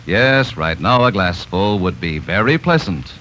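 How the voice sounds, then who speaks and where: Smooth, persuasive vintage radio announcer. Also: male voice, sits low, expressive, unhurried, bright, forceful tone, slight background noise. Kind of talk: sponsor announcement. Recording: muffled 1940s radio broadcast.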